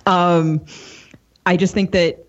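A woman co-hosting a podcast interview, speaking casually and thoughtfully in a halting, discursive, earnest way. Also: on the low side, steady tone, highs cut off.

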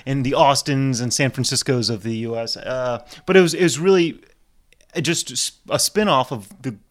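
Casting: American male guest from New Orleans, mid-pitched, joking and self-deprecating, loose conversational delivery. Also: normal speed. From New Orleans, United States. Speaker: family business worker, interview guest. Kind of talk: casual chat.

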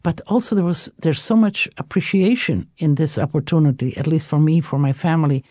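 A woman's voice: sits low, brisk, mellow, dry recording, studio quality. An older woman chef from Istria with an Italian accent, telling her story in a radio interview, with many fillers. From Istria, Italy/Croatia. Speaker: chef, guest.

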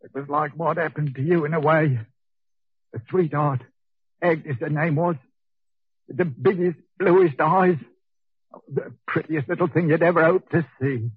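Husky, high-voiced Cockney convict. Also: male voice, unhurried, long pauses, dry recording. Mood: bitter, rueful. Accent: Cockney English. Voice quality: husky, rough. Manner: slangy, chatty, needling. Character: coarse, hot-tempered, sickly working-class male convict. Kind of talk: 1940s radio acting. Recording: muffled phone-like 1940s radio recording.

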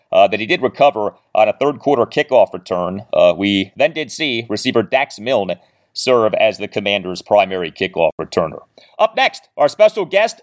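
A man hosting a sports podcast, delivering commentary and stat recaps in a measured, emphatic announcer-like way. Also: mid-range voice.

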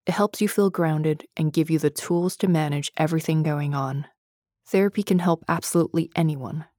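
A woman with a notably deep voice delivers a scripted podcast ad. The sound is close and very dry. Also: darkish tone, no room sound. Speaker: advertisement reader.

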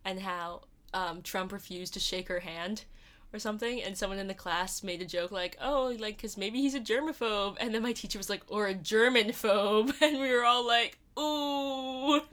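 A woman's voice: medium pitch, moderate pace, fairly bright tone. A young woman, co-hosting a podcast in rambling casual chat with many hesitant "um" fillers. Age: young adult. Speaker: podcast co-host.

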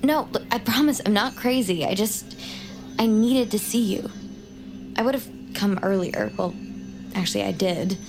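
A woman's voice: medium pitch, normal speed, music in the background, close to an everyday voice. An actress playing an intense young woman in an audio drama, glib and socially blunt, with naturalistic delivery. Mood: defensive, earnest. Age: young adult. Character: glib, intense young woman, awkward true-crime survivor.

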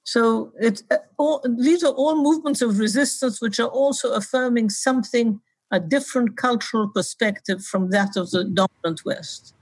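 An older woman from Egypt, a historian, explaining her research in brisk answers with frequent restarts. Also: on the high side, breathy edge, no room sound, rough recording. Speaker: historian and author. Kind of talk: interview answers.

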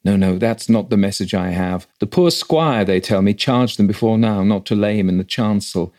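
A man's voice: lowish voice, normal speed, a little mellow, lightly acted. Country rector, played by a male reader: authoritative, educated, formal and businesslike, for a storybook ghost tale. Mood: brisk. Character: brisk, educated, slightly impatient country rector.

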